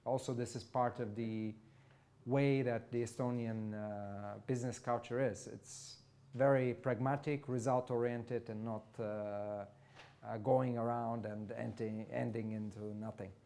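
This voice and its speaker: A man from Bulgaria moderating a live panel event, speaking earnestly and measuredly with frequent filler pauses. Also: medium pitch, little pitch movement, darkish tone. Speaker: event moderator, e-resident community board member. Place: event venue, live audience.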